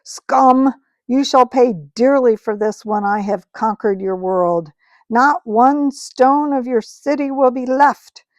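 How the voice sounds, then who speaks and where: A woman voicing a proud, cruel witch queen who hurls loud, scornful threats. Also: on the high side, takes it slowly, no echo, pitched above the performer's own voice. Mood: triumphant.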